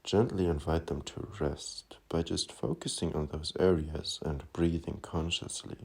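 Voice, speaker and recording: A man leading a guided meditation in a soft, calming, unhurried manner. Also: a bass voice, gravelly, husky.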